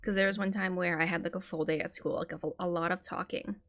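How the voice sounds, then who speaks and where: A woman co-hosting a casual podcast in a fairly low voice. She tells stories in an easy, relaxed way. She drops in quick questions and reactions. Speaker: podcast co-host and streamer.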